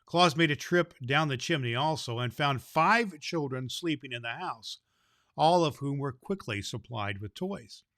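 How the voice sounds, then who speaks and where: A man playing a kindly old Santa Claus, hosting a children's Christmas show in a folksy, deliberate storyteller's manner. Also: mid-range voice, expressive, fairly bright tone, dry recording, studio quality. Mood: gentle storytelling. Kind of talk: scripted announcements and storybook reading for children.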